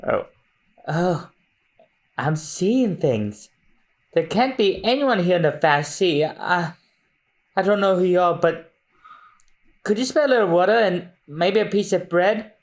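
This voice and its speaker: Brief: man doing a parched young woman castaway, soft and pleading, lilting. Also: high-pitched, lively intonation, a little slow, long pauses, voice pushed up.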